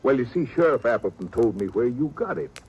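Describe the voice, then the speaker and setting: An actor playing a proper, apologetic small-town reverend in a 1950s radio western, hesitant and stammering. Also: male voice, mid-range voice, expressive, brisk, darkish tone, lo-fi sound, close to an everyday voice. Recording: slightly noisy old radio recording.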